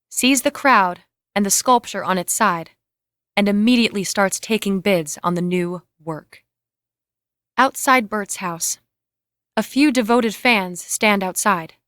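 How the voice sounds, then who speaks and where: A woman's voice: medium pitch, a bit bright, no echo, clean studio sound. A woman reading out the scene directions of a screenplay as scripted narration. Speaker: reader of stage directions.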